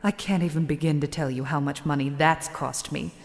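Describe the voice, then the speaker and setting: A woman's voice: low-pitched, steady tone, brisk. A woman playing a vain, haughty female shadowling merchant in a video game, theatrical, self-absorbed and condescending. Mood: boastful. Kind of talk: dramatic video game voice acting. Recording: long reverb on the voice.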